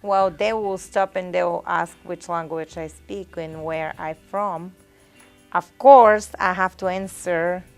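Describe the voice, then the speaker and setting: A woman from Bulgaria with a Bulgarian accent, answering interview questions in halting English and correcting herself as she goes. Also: on the low side, creaky. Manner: halting, simple learner's English with self-corrections.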